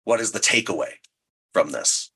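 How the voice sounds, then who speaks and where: A man talks through his creative process as an interviewed writer. His voice sits fairly low, with a forward, bright edge and some rasp, and he explains things with modest self-deprecation. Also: animated, moderate pace. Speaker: audio drama writer and creator. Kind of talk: interview chat.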